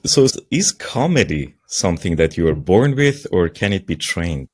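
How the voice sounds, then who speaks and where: A man's voice: low-pitched, big pitch swings, moderate pace, darkish tone, husky. A man hosting a podcast, asking conversational questions with an admiring tone. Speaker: podcast host. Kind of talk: interview questions.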